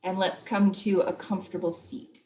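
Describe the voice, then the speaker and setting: A woman teaching yoga, guiding a body scan with gentle, invitational step-by-step cues. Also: medium pitch, level tone, normal speed. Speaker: yoga instructor. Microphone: distant microphone. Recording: very echoey room, distant mic, faint noise.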